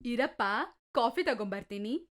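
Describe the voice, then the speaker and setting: A man voicing a greedy, scheming middle-aged stepmother in a high, soft falsetto. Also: expressive, a little slow, exaggerated voice. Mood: sly. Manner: dismissive, sly. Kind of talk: storybook narration.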